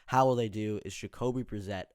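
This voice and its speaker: Raspy, hoarse male sports podcaster. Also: lowish voice, steady tone, brisk, noisy background. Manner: filler-heavy, gets heated and louder when ranting. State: hoarse, tired voice. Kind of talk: casual solo sports podcast.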